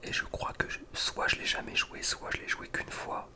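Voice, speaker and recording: A man speaking in a breathy whisper, giving informal, slangy ASMR commentary as a gaming video creator. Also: normal speed. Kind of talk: whispered ASMR casual commentary ranking video game maps.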